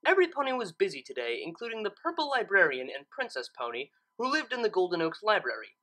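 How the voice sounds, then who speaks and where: Bright, very animated woman storyteller. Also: mid-range voice, fast talker. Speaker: fan fiction reader. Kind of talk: animated scripted story narration.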